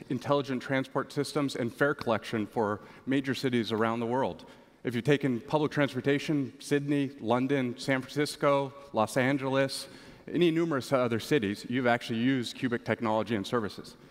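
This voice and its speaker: A man's voice: mid-range voice, steady tone, fairly quick. Male executive guest, 40s, middle register, prepared interview answers on stage, headset mic in a reverberant hall. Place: large conference stage, indoors.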